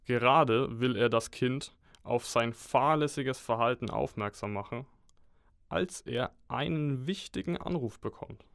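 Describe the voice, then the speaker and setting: Slow-paced man narrating with mock-heroic drama. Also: mid-range voice, gravelly, fairly bright tone, clean tone, no room sound, clean studio sound. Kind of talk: scripted dramatic storytelling narration.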